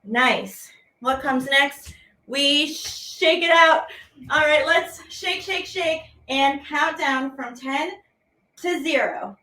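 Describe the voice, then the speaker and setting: A woman's voice: high-pitched, slow pace, sharp tone, poor audio. A woman hosting a children's lesson as a teaching artist, speaking playfully and animatedly to viewers at home in simple, repeated phrases.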